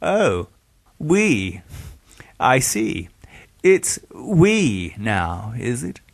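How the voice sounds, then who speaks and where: A man's voice: mid-range voice, very animated, extremely slow, creaky, no echo, heavily acted. A man voicing an arrogant, murderous surgeon in an audiobook, with a sardonic, theatrical and falsely affable manner. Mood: condescending.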